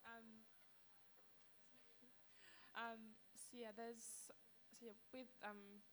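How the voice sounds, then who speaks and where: A woman's voice: a little high, flat delivery, takes it slowly, fairly bright tone, slight background noise. A young woman from Australia, an artist on a panel, speaking hesitantly with lots of "um" and "like". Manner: hesitant, many fillers like "um" and "like". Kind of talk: panel discussion.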